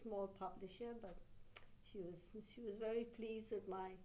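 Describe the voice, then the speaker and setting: An older woman from Burma with an Anglo-Burmese accent, an author telling her story in an unhurried, reflective way with pauses. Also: mid-range voice, steady tone, dark tone, slight background noise, poor audio. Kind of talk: interview answers. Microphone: table mic on a stand.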